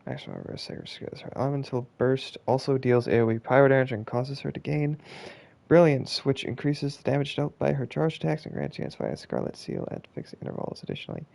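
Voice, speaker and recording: A man with a gravelly, fry-laden voice and lively swings in pitch comments casually as he streams a game. He is blunt and sweary, quick to sound exasperated. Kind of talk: casual gaming commentary with reading of on-screen text.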